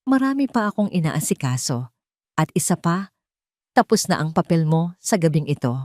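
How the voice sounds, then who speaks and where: A woman's voice: on the low side, sing-song, normal speed, a little mellow, dry recording, studio quality. A woman voicing a cold, vengeful businessman who talks in curt, contemptuous commands in an audio drama. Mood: cold, irritated. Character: cold, vengeful, impatient businessman barking orders.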